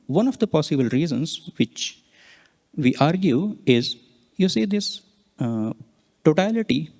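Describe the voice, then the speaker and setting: A man giving a scientific conference presentation, explaining slides and data in halting, explanatory phrases. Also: medium pitch, darkish tone. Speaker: researcher presenting a conference talk.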